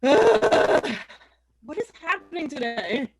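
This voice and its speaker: Woman, very high voice, lively pitch, slow pace, giggly tabletop player. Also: creaky, slight background noise. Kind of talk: tabletop roleplay over voice chat.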